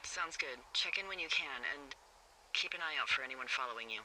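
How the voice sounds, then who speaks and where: An actress playing a wry, watchful fire lookout in a video game, talking conversationally and sardonically over a two-way radio. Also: female voice, a bass voice, animated, brisk, pushed and bright, slight background noise, heavily acted, poor audio. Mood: uneasy. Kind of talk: naturalistic video game dialogue.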